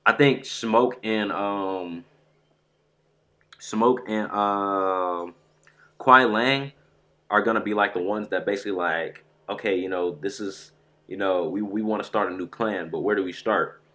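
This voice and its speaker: A man streaming live about a fighting game, rambling and excitable, sounding stuffy from a sore throat. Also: fairly low pitch, a little slow. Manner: excitable, rambling, says "bro" a lot. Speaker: gaming streamer.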